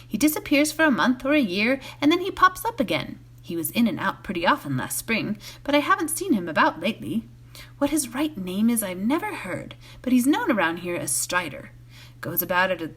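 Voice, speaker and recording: A woman's voice: medium pitch, very animated, a little fast, breathy edge. A woman voicing a chatty male innkeeper in a storybook, confiding gossip in folksy rustic talk. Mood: confiding, gossipy.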